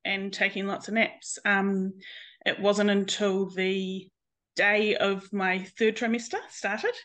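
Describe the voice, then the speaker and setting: A woman's voice: medium pitch, flat delivery, slow pace, a bit bright. Frank New Zealand woman in her late thirties. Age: late 30s. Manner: open, frank, laughs easily. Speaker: guest, nurse and mother. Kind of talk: personal story in interview.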